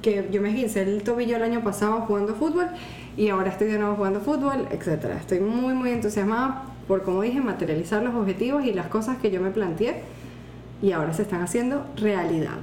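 A woman in her twenties with a Venezuelan accent, co-hosting a casual podcast chat in a calm, measured way. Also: mid-range voice. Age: 20s. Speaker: podcast co-host. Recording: echoey room with faint noise.

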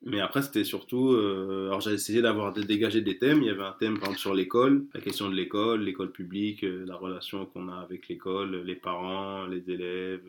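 A man's voice: low voice, fairly even intonation, a little fast, hardly any pauses. A man chatting casually as an interview guest, hesitant, with many fillers and restarts. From France.

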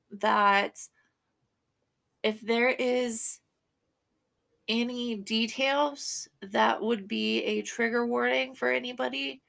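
Congested nervous woman with creaky voice. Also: a little high, fairly bright tone. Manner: nervous, many fillers and drawn-out vowels; laughs between sentences. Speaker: podcast host. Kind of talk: casual podcast chat.

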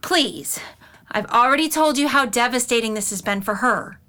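A woman's voice: a little high, moderate pace, sharp tone. An actress playing an anxious, earnest middle-aged mother in an audio drama, fussy and insistent. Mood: earnest, worried.

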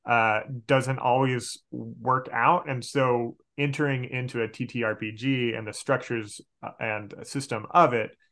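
A man in his late twenties chatting casually as a podcast guest, animated and repeating words as he explains. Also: medium pitch, a little slow, few pauses. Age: late 20s. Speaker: podcast guest, game master. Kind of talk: casual chat.

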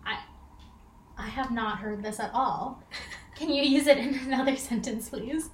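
A woman's voice: fairly high pitch, unhurried, roomy sound, far from the mic, a little hiss or hum. A woman from the United States in casual chat, curious and playful, asking lots of questions. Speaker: co-host.

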